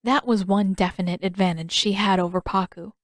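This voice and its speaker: A woman's voice: medium pitch, fairly even intonation, fairly quick, no echo. Woman narrating a story, dry close sound. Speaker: fan fiction author reading own story. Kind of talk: scripted audiobook narration.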